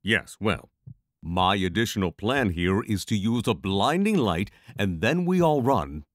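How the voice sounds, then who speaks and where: Man as a wry, lively-voiced lightning mage. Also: on the low side, unhurried, no room sound. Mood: wry, apprehensive. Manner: dry, wry, reasoning aloud. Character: male lightning mage, wry, pragmatic adventurer. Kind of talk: fantasy audio drama acting.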